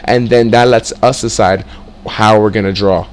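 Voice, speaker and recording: Low-voiced young man thinking aloud. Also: normal speed, a little hiss or hum. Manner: reads out moves, breaks into excited exclamations. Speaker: chess tutor. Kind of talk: casual screen-share lesson, thinking aloud.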